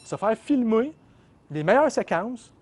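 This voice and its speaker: A man's voice: high-pitched, sing-song, unhurried, darkish tone. A man with a Québécois French accent hosting a casual interview, chatty and often finishing his guest's sentences. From Quebec. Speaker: podcast host.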